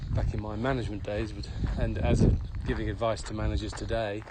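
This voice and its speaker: A man's voice: fairly low pitch, little pitch movement, quick pace. Man chatting over noisy background. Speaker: podcast co-host and management adviser. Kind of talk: casual podcast discussion. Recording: clearly noisy background with clicks.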